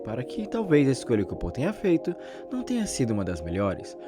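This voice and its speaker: Animated young Brazilian man over music. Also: medium pitch, fast talker. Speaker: video essay host. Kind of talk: conversational scripted commentary. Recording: background music under the narration.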